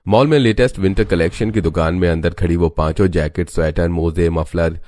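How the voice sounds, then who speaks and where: A man's voice: deep voice, fairly even intonation, fairly quick, darkish tone, a little hiss or hum. A man narrating a scripted moral story as its storyteller.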